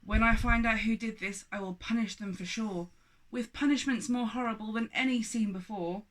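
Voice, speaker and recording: Woman voicing a booming, furious father giant. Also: fairly even intonation, moderate pace, fairly bright tone, natural voice, low-quality recording. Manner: booming, accusatory, pompous. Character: huge thundering angry father giant with quivering moustache.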